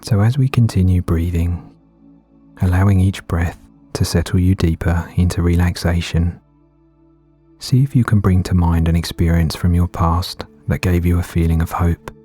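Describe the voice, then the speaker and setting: A man gently guiding a meditation in a soothing, unhurried way with long pauses between phrases. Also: low voice, steady tone. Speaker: meditation guide. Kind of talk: guided meditation narration. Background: background music.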